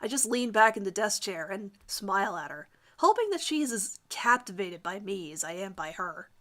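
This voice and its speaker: A woman's voice: mid-range voice, lively intonation, normal speed, fairly bright tone, voice pushed down, natural voice. A woman voicing a vain, lovestruck teenage boy who narrates his crush with overwrought emphasis in a melodramatic fan fiction reading. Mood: smitten, yearning.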